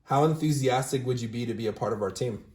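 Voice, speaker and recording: A man's voice: medium pitch, fast talker, darkish tone. A young man recruiting for a sales team, walking viewers through callbacks in a casual, upbeat way full of "all right?" and fillers. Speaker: recruiting manager demonstrating callbacks. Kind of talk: casual screen-share walkthrough, then phone interview. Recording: roomy echo.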